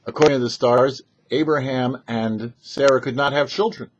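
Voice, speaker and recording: A man, a rabbi hosting a short religious commentary, telling and explaining scripture with emphatic, halting phrasing and pauses. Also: medium pitch, fairly even intonation, a little hiss or hum. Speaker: rabbi and host of a weekly Torah talk show. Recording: dull, lo-fi sound.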